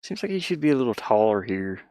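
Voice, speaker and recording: A man thinking aloud in a casual, halting mutter as he works on a game at his computer, talking mostly to himself. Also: on the low side, sing-song, mellow, no echo. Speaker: hobby game developer. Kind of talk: casual think-aloud screen-recording commentary.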